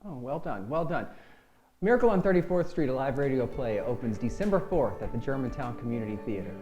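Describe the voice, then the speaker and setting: A man's voice: medium pitch, lively intonation, a little fast, a little mellow, dull audio, soft background music. A man hosting an informal panel interview, putting questions to his guests in turn. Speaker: interview host.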